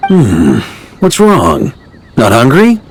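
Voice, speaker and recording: An actor playing an easygoing, hearty grandmaster of knights in a video game, casual and teasing. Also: male voice, medium pitch, wildly swooping pitch, slow, slight background noise. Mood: reluctant, grumbling. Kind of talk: video game character acting.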